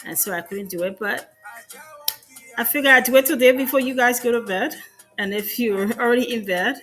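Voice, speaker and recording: A woman's voice: mid-range voice, fairly bright tone. A woman from Liberia with a Liberian accent, hosting an informal educational presentation in a conversational way, often repeating and restarting phrases. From Liberia, West Africa. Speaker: host of an educational online show.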